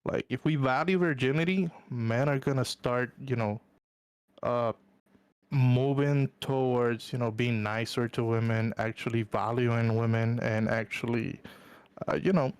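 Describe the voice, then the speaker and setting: A man's voice: medium pitch, steady tone, a little slow, a little mellow, clean tone, no echo. A man from Puerto Rico whose first language is Spanish, sick and congested, calling in to debate on a live stream, hesitating with many fillers and restarts. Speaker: caller. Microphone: voice call.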